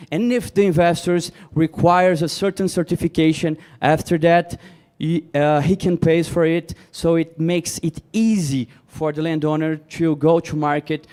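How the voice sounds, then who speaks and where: A man's voice: a little high, a little mellow, smooth. A man presenting a startup and answering questions, explaining things in non-native English phrasing.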